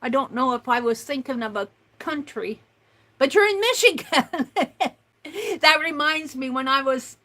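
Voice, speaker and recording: Slow, chatty woman, high voice. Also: lively intonation, pushed and bright. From United States. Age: older. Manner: slow, chatty, with many fillers and restarts. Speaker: art livestream host. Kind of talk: casual livestream chat reading comments.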